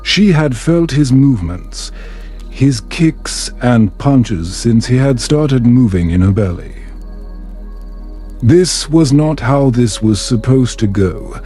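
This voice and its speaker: A man narrating a calm bedtime story in a measured, soothing storybook manner. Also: medium pitch.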